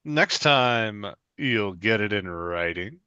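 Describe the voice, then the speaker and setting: A man playing a courteous, measured TV court show reporter in a live comedy table read. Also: lowish voice, animated, bright, forceful tone, clear, resonant tone, no echo, lo-fi sound, exaggerated voice. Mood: polished. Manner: measured, presenterly.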